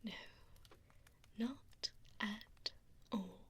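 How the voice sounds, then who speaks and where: A woman voicing an evil, possessive female vampire in a breathy ASMR whisper, deliberate and mockingly polite, speaking close into a binaural mic. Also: mid-range voice, a bit bright. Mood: cold, gloating. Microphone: binaural ear microphone, close up. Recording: close binaural mic with audible background noise.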